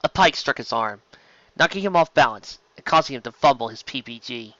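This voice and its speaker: A man's voice: medium pitch, lively intonation, a little fast, fairly bright tone, no echo, muffled recording. A man reading science fiction aloud as dramatic scripted narration. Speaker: reader of fan fiction.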